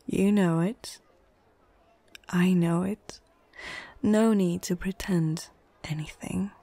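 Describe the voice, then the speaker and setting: A woman's voice: fairly low pitch, steady tone, takes it slowly, dark tone, no echo. A woman playing a proud, menacing orc chieftain in an ASMR fantasy roleplay, imperious and condescending, often dropping toward a whisper. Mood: grave, defiant. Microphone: close microphone, dry.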